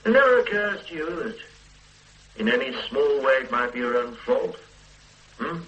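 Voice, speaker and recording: An actor playing a stern middle-aged police boss who lectures and mocks a junior officer, in radio drama style. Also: male voice, mid-range voice, big pitch swings, a little slow, a bit bright, lo-fi sound. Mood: lecturing. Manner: lecturing, brusque, mocking. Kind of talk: 1980s radio drama acting.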